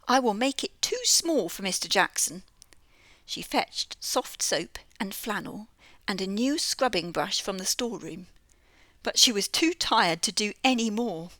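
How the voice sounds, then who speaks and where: A British woman reading a children's story aloud in an expressive, lilting way. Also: medium pitch, no echo. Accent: British English. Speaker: audiobook reader.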